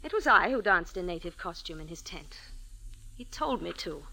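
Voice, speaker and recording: Jumpy scheming dancer, defensive, bright edgy voice. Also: female voice, on the low side, very animated, normal speed, gravelly, heavily acted, rough recording. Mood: tense. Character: scheming young woman, a dancer, nervous and defiant. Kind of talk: 1940s radio acting.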